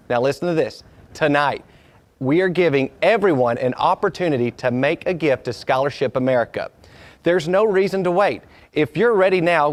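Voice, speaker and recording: A man hosting a fundraising event, chatty and salesy, sometimes stumbling over his words. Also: on the high side. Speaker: fundraising host.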